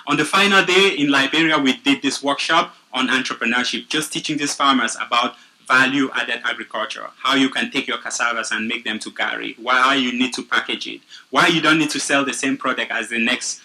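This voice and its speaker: A young man from Cameroon with a Cameroonian accent, earnestly pitching his nonprofit in a prepared speech. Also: a little fast, gravelly, low-quality recording. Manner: earnest, steady storytelling.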